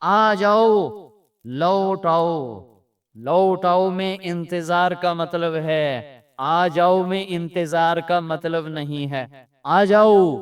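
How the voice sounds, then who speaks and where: A man's voice: high-pitched, clear, resonant tone. A man with a Pakistani accent preaching an Islamic sermon with Arabic recitation, emphatic and repeating phrases for effect. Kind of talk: impassioned Urdu religious sermon with Arabic recitation.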